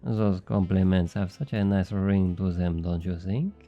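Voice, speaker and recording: An actor playing a smug, flirty thief with a French accent, teasing and coaxing in an intimate ASMR roleplay. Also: male voice, low-pitched, fairly even intonation, unhurried, lo-fi sound, soft background music. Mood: flirtatious taunting. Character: obnoxiously flirty, smug male thief and information broker.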